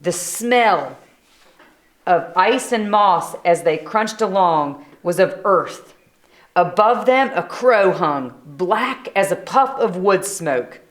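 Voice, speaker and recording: A woman reading aloud a children's story in an animated style, adding brief chatty asides. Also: lowish voice, slow pace. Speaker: storybook reader.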